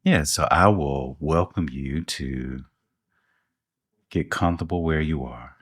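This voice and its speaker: A man co-hosting a podcast, leading a guided meditation with soft, slow instructions and long pauses. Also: extremely low pitch, breathy edge, no echo, clean studio sound.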